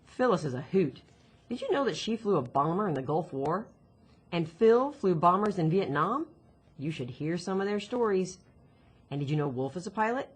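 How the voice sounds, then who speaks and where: A woman's voice: lowish voice, very animated, moderate pace, slightly rough. A woman reading as a chatty, teasing boy. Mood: chatty, enthusiastic. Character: chatty, teasing, upbeat boy, caring underneath.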